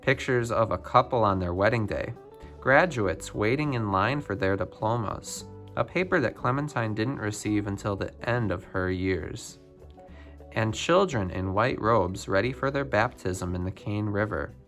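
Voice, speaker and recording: A man reading a children's picture book biography aloud, phrase by phrase with expressive emphasis. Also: fairly low pitch, measured pace, faint music behind. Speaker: storybook reader.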